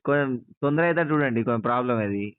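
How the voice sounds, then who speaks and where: A man with a Telangana Telugu accent complains casually to a helpline. His voice is smooth and clear, and he talks quickly in a plaintive, insistent way. It is heard through a thin, narrow telephone connection. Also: medium pitch, no echo. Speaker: customer calling a helpline. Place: on a phone line. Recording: tinny, narrow phone call recording.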